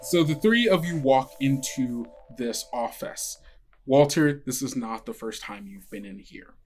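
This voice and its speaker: A game master improvising narration for a tabletop actual play, haltingly and searching for words. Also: mid-range voice, animated, darkish tone. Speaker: game master and podcast host. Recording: music under the voice. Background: plucked guitar music.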